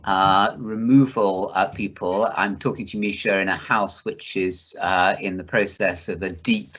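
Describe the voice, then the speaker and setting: A man interviews a guest from a study at home, stumbling over repeated words and hesitations. His voice sits low but has a sharp, forceful edge, and the sound is a little muffled. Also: fairly even intonation, measured pace. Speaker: interviewer, university vice chancellor. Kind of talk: conversational interview. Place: study in a house.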